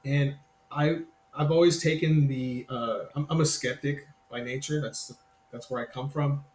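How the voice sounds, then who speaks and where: A man's voice: mid-range voice, fairly even intonation, measured pace, a little mellow. Man in his fifties, roomy sound. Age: 50s. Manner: rambling, self-interrupting, friendly. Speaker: guest podcaster. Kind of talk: casual podcast chat. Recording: roomy sound with faint ambient noise.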